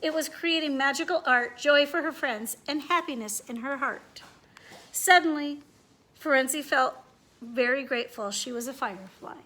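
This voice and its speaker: A woman's voice: high-pitched, normal speed, sharp tone. A woman hosting a children's read-aloud and chatty demonstration, speaking directly to young listeners and asking them questions. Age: adult.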